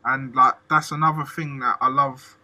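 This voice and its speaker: Male interview host, enthusiastic and slangy, piercingly bright timbre. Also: medium pitch, fairly even intonation, normal speed. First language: English. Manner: enthusiastic, casual slang, lots of "like" fillers. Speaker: podcast host. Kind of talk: casual conversational interview.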